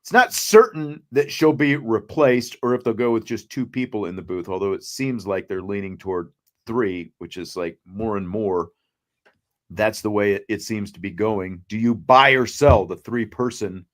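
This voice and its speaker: A man hosting a sports talk show, speaking casually in a measured, conversational way. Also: on the low side, a bit bright.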